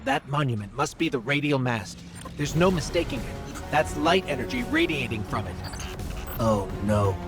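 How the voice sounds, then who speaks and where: A man's voice: mid-range voice, sing-song, normal speed, over music, lightly acted. An actor playing a small robot companion in a video game, earnest and worried as he guides a hero. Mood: uneasy.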